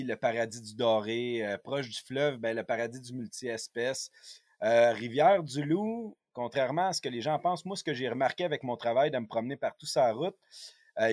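A man's voice: mid-range voice, dry recording, studio quality. A man with a Quebec French accent hosting a podcast, chatting and interviewing casually in colloquial, slangy language. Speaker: podcast host.

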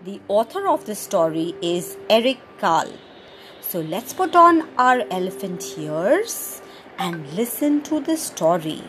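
A woman hosting a children's storytime podcast, reading a picture book aloud in an animated, sing-song way and adding playful sound effects. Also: medium pitch, measured pace, slight background noise.

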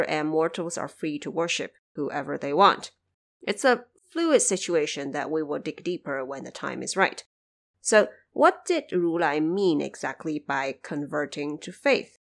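A woman from China presents explanatory commentary as a podcast host. Her voice sits a little lower than average. She keeps the delivery informal, with a wry turn to her explanations.

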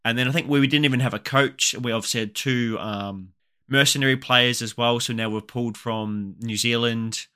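Australian male podcast host, crisp bright tone, relaxed and laughing easily. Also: mid-range voice, moderate pace. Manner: easygoing, laughs often, trails into fillers. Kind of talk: casual chat.

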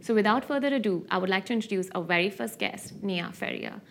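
A woman hosts a podcast interview, asking friendly and encouraging questions. Her voice has a gravelly, fry-edged texture at a middle pitch, and a faint hiss sits under the recording. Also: fast. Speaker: podcast host.

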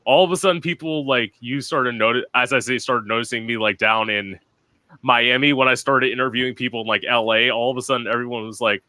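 A man's voice: mid-range voice, fairly even intonation, a little fast, bright, forceful tone, no echo. A young man from Florida hosting a podcast, chatty and sassy, filling his talk with "like". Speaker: podcast host. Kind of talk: casual chat.

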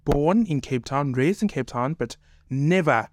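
A young man with a South African accent, a high school teacher speaking earnestly as a podcast guest, peppering his points with "you know". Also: expressive, measured pace, no echo, studio quality. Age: young adult. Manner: earnest, opinionated, many fillers like "you know". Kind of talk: casual podcast conversation.

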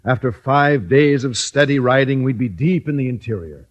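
A man's voice: mid-range voice, moderate pace, muffled recording, lightly acted. An actor playing a shy, earnest, polite male teacher, a little hesitant, in a 1950s radio sitcom style. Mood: earnest, eager.

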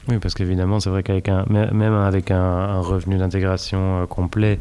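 A man who works in social support, explaining things in a measured way during a conversation, with frequent hesitations and fillers. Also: deep voice, level tone, mellow, smooth. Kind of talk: casual conversation.

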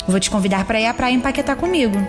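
A woman playing an affectionate, wistful, gently teasing young granddaughter with a Brazilian accent in a scripted audio drama monologue. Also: on the high side, expressive, racing, over music, natural voice. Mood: tender, wistful.

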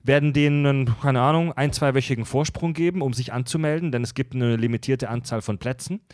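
A man's voice: mid-range voice, fast talker, a little mellow, no room sound, studio quality. A man co-hosting a casual podcast, reading listener mail and making announcements with plenty of filler sounds. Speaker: podcast co-host.

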